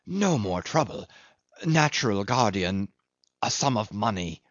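Man putting on a wheedling old swindler, whining, cracked squeals, pitch leaping wildly, dull dry audiobook sound. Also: very animated, unhurried, dry recording. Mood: coaxing. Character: whining, cringing, bitter old male schemer.

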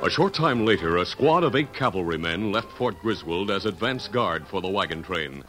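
A man's voice: low-pitched, lively intonation, moderate pace, music in the background. A man reading scripted narration for a 1950s radio drama.

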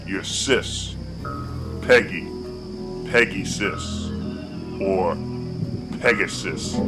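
A man plays a concerned police partner with a lowish voice. He explains things patiently and deliberately, pausing for dramatic weight. Music runs clearly behind him in this audio drama. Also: lightly acted, rough recording.

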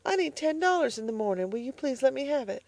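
A woman reading the part of a proud, determined young farm girl, speaking high and earnest. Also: sing-song, fast talker, a little mellow, no echo. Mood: pleading. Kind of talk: audiobook character voice.

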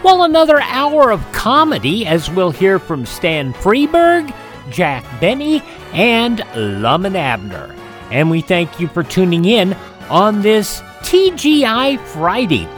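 A man's voice: sits high, huge pitch swings, slow pace, fairly bright tone, soft background music. A man hosting a radio show, reading scripted notes on history.

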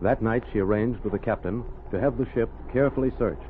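A man narrating a 1930s radio drama in a measured, dramatic way. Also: on the low side. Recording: tinny old radio recording with music.

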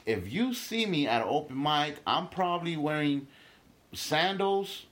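A man's voice: a little high, animated, measured pace, a bit bright. A young man from Guatemala, a stand-up comedian talking emphatically as an interview guest, swearing freely and restarting his sentences. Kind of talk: casual podcast interview.

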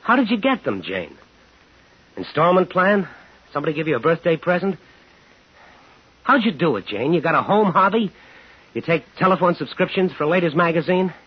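A man's voice: on the high side, big pitch swings, normal speed, pauses often, husky. An actor playing a bitter, relentless man in a 1950s radio drama, terse and sardonic. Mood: sardonic, pressing. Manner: terse, sardonic, needling questions. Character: bitter, relentless man home after years, hunting a killer. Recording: muffled, noisy 1950s radio recording.

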